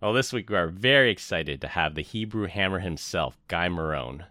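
A man's voice: low-pitched, expressive, normal speed, pushed and bright, no echo. A man hosting a podcast, casual and dry-humored as he introduces his co-hosts and guest. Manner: dry, joking. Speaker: podcast host. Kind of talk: casual chat. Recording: music bed behind the voice.

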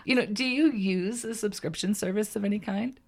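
A woman co-hosting a casual chat podcast, her voice husky and froggy from a cold, asking friendly questions and laughing often. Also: mid-range voice, a little fast. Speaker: podcast co-host.

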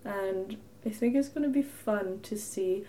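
A woman's voice: medium pitch, measured pace, mellow, slight background noise. A young Norwegian woman co-hosting a casual podcast chat, talkative, often laughing, with lots of little fillers. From Norway. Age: young adult. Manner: chatty, laughs easily, frequent fillers. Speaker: podcast co-host.

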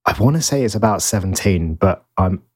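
A man's voice: deep voice, animated, a little fast, husky, dry recording. A man co-hosting a podcast in casual banter, with a dry, teasing manner. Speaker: podcast co-host.

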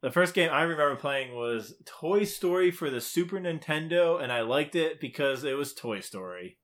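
A man's voice: a little high, expressive, moderate pace, fairly bright tone. A man co-hosting a podcast in casual, playful banter, sometimes reading out quiz questions in a teasing way. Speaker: podcast co-host.

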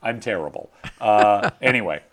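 A man's voice: fairly low pitch, animated, normal speed, a bit bright. A man hosting a podcast, chatting casually and playfully with a co-host and joking at his own expense while reading listener mail. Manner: playful, jokey, self-mocking.

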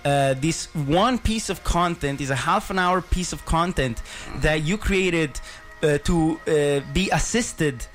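A man's voice: on the high side, takes it slowly, fairly bright tone, soft background music. A man hosting a radio film show, chatting enthusiastically with many fillers and restarts. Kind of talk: casual radio interview. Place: radio booth.

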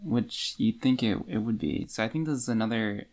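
A woman's voice: rumbling low, fairly quick, darkish tone. A woman co-hosting a podcast, discussing a book in a casual, conversational way. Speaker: podcast co-host.

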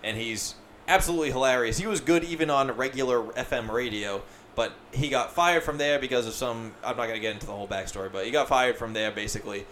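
Man, podcast host, casual chat, swears a lot, mid-pitched voice, faint background noise. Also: quick pace, fairly bright tone. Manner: profane, rambling, filler-heavy.

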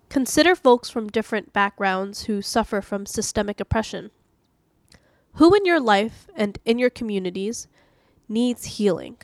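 A woman's voice: mid-range voice, no room sound, clean studio sound. A woman hosting and narrating a scripted devotional reading. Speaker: host reading daily devotionals.

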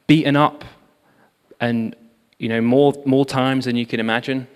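A man giving a sermon, telling revival history in an animated storytelling manner with frequent fillers. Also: medium pitch, measured pace, smooth. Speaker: preacher. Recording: long hall reverb.

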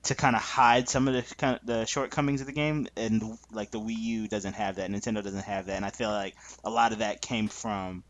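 A man's voice: lowish voice, fairly even intonation, a little fast, hardly any pauses. A man co-hosting a podcast, chatting informally and thinking aloud as he goes. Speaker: podcast co-host. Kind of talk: casual chat.

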